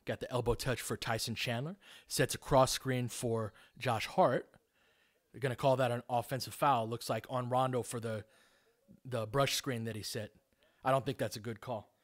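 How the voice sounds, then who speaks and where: A man's voice: mid-range voice, fairly even intonation, normal speed. A man doing live basketball play-by-play, speaking in clipped, informal phrases and adding tactical analysis as he goes.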